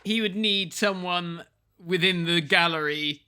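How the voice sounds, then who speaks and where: A man's voice: sits high, unhurried, sharp tone, clean tone. A man giving a casual, joking commentary on a film. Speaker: podcast commentator.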